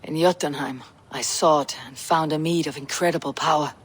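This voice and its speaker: A woman playing a Viking warrior troubled by strange visions, speaking in a measured, earnest way in a video game. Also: sits low, fairly even intonation, husky. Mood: awed, earnest. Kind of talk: dramatic video game acting.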